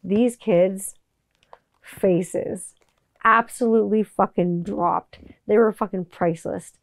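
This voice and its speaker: A woman in her forties hosting a podcast, talking in a blunt, profane, animated monologue. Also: medium pitch, takes it slowly, no room sound. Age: 40s. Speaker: podcast host. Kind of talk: casual monologue.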